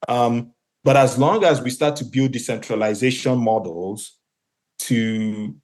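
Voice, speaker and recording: A man's voice: mid-range voice, unhurried, darkish tone. A man from Nigeria whose first language is Igbo, speaking as a podcast guest, thoughtful and full of fillers and restarts. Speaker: guest, marketplace co-founder and CEO. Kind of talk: podcast interview answer.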